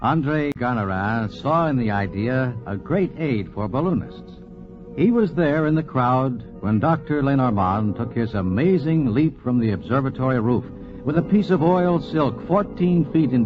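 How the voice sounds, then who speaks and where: A muffled vintage radio recording of a man hosting and narrating. His formal announcer delivery swings dramatically in pitch over clear background music. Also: animated, measured pace. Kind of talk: scripted 1940s radio narration.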